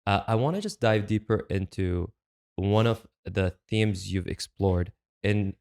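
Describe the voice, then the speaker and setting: Polite low-voiced male podcast host. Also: unhurried, gravelly, darkish tone. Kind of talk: interview hosting. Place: studio in Canada.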